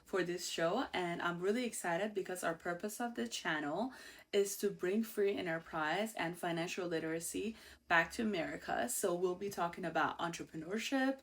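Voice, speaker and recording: An outgoing woman in her thirties with an Iranian accent hosts her own show in a loose, chatty monologue. Her voice sits a little on the low side for a woman, and she talks with a bubbly warmth, laughing easily between thoughts. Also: moderate pace. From Iran. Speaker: host. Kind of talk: casual personal monologue.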